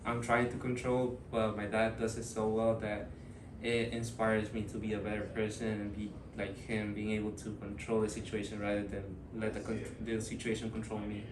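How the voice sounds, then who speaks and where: A young man in his early twenties from Ecuador, answering interview questions in a thoughtful, hesitant way with many pauses and fillers. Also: on the low side, completely flat delivery, echoey room, far from the mic, noisy background. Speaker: student interviewee.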